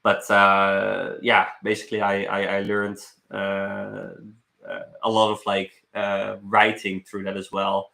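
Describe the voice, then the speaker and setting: The sound is roomy and echoey. A young man talks in a bright, forceful voice on the low side. He rambles casually like a streamer catching up with viewers, halting and repeating words as he goes. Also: monotone, creaky. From Netherlands. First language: Dutch. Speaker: live streamer, travel vlogger. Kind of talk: casual live stream chat. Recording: roomy echo.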